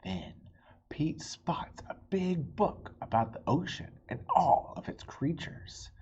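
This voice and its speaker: Very low-voiced woman reading a storybook. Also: slow pace, husky, in a whisper. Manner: expressive, speaking directly to young listeners. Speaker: read-aloud storybook reader and host. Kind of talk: children's picture-book read-aloud with friendly asides. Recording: dull lo-fi sound with faint noise.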